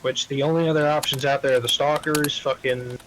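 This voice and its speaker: A man's voice: mid-range voice, fairly even intonation, brisk. Profane man in casual game chat, faint noise. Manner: heavily profane, rambling. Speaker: roleplay game player. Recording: faint background noise, keyboard typing.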